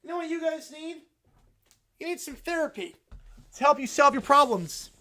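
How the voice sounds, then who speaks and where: A man's voice: extremely high pitch, animated, moderate pace, sharp tone, voice pushed up, full character voice. A man voicing a bossy, greedy cartoon girl who curtly demands payment before she helps. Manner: curt, businesslike, dismissive.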